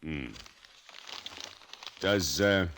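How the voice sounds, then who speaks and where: An actor playing a smooth, sly high-rolling gambler in an old-time radio drama, casual and understated in his confidence. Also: very slow, fairly bright tone, slight background noise, full character voice.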